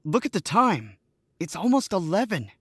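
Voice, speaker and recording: A man's voice: sits high, very animated, moderate pace, breathy edge, dry recording, studio quality, heavily acted. A young man playing a frightened, stammering accomplice in a 1940s-style radio drama. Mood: panicky. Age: young adult. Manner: stammering and panicky.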